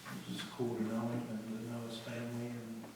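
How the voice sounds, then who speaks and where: A man telling a halting, rambling story about a friend at a memorial service. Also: medium pitch, completely flat delivery, gravelly, noisy background. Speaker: mourner sharing a memory. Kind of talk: informal memorial remarks. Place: church sanctuary. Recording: echoey room, mic far off.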